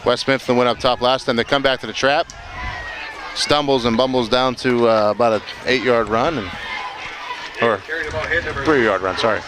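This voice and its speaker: A man doing relaxed live play-by-play of a high school football game, joking and filling pauses with lots of "uh"s and restarts. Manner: easygoing, joking, many fillers and restarts. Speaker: play-by-play commentator. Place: outdoor football stadium. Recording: loud crowd and stadium noise. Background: crowd noise.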